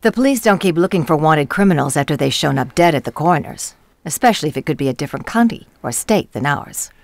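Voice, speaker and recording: Low-voiced no-nonsense woman coroner investigator. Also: expressive, quick pace, vocal fry, no room sound. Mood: matter-of-fact. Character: no-nonsense female coroner investigator, exasperated, businesslike. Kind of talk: radio mystery dialogue.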